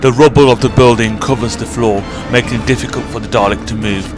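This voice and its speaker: Man, scripted story narration, middle-pitched voice, clear music bed behind. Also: steady tone, fast talker.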